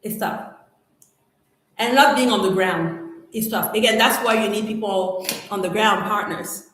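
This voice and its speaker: A middle-aged woman from Cameroon, a French speaker, answering viewer questions in a live chat, animated and emphatic with slangy exclamations. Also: medium pitch, measured pace, slight background noise. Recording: very echoey room.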